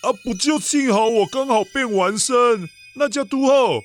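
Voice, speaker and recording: An excitable old Taiwanese uncle from a children's radio play, pitched very high and taking his time. He is folksy and exclamatory, with clear music playing behind him. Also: measured pace. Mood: eager. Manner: exclamatory, folksy, stammering in indignation. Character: excitable old Taiwanese uncle, garbage-truck storyteller turned space hero. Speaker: children's story show host.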